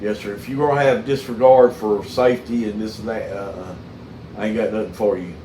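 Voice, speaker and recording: A middle-aged man with a Southern US accent, chatting casually and telling stories in a folksy, halting way. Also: on the low side, steady tone, dark tone, roomy sound, a little hiss or hum, poor audio. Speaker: mechanic and channel host. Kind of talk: casual phone chat with storytelling.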